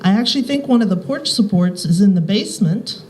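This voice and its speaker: Female tour speaker, historian type. Mellow, conversational, informal talk, recorded live with clear background noise. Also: medium pitch, lively intonation, a little fast.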